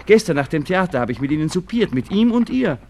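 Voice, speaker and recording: Moody lovesick young gent, old radio play, highish voice, bit of background noise. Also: male voice, sing-song, fast, a little mellow. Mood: uneasy. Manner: brooding, evasive. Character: moody, lovesick young Viennese gentleman, evasive and wistful. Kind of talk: 1960s German radio drama acting. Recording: noisy old radio play with outdoor ambience.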